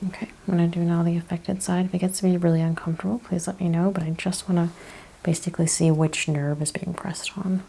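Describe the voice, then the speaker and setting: A woman speaking in a soft whispered ASMR style as a chiropractor doing an exam, calmly explaining and reassuring. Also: on the low side, fast talker, vocal fry, husky. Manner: calm, reassuring, step-by-step explaining. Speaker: ASMR chiropractor role-player. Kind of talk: soft-spoken, whispered ASMR clinical exam role-play.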